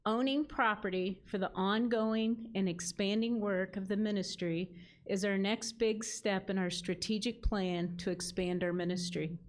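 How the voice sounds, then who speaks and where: A woman's voice: mid-range voice, steady tone, a little slow. Earnest woman giving a speech. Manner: earnest, reading from a script. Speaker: ministry leader. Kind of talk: prepared speech at a gala.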